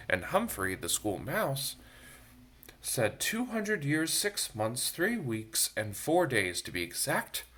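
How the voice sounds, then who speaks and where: Man, children's story reader, expressive, lively swooping intonation, bright tone, chatty asides to kids. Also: mid-range voice. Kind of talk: reading a picture book aloud to children. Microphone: phone.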